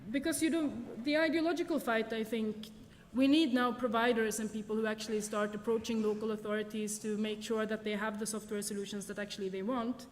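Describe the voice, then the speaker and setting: A woman's voice: fairly high pitch, fairly even intonation, a little fast. A woman with a slightly raised voice fields questions after a conference talk, hedging and restarting as she thinks things through. Her words carry a long reverb from a large lecture hall. A faint hiss of noise sits underneath. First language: Swedish. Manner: thinks aloud with frequent ums and restarts. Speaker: conference speaker.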